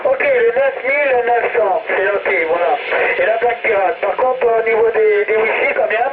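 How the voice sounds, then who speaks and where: High-pitched man on tinny, noisy radio. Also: moderate pace. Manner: repetitive, peppered with "hein" and "voilà". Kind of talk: casual CB radio contact. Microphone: CB transceiver microphone. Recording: tinny narrowband CB radio, loud static.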